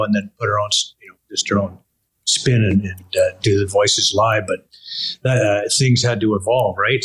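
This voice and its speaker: An older man hosting a casual interview, speaking in halting, meandering phrases. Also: mid-range voice, slightly rough. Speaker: interview host, broadcaster.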